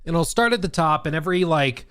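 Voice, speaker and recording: Male streaming host, casual rambling chat, slightly short of breath, very animated delivery. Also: fairly high pitch, fast, smooth, dry recording, studio quality. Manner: rambling, animated, lots of fillers and restarts.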